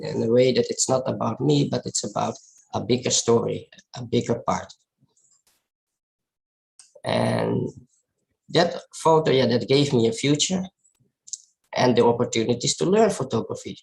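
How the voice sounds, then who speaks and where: Male presenter, Dutch accent, native Dutch speaker. Gravelly fry, medium pitch, thoughtful and halting delivery of an informal photographer's talk. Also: rough recording. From Netherlands. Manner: halting, reflective, searching for words. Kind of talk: informal presentation of personal work.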